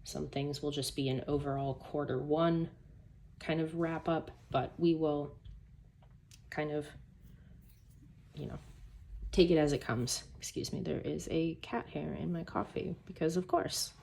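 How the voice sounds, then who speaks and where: A woman with a hoarse, gravelly voice chatting casually at her desk about her planners, rambling through self-deprecating asides. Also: sits low, steady tone, a little slow, pauses often, darkish tone, slight background noise. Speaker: planner YouTuber. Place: home desk by a sunny window.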